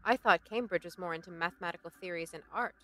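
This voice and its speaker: A woman playing a curious, sharp-minded travelling companion in an audio drama, inquisitive in manner. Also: fairly low pitch, fast, natural voice.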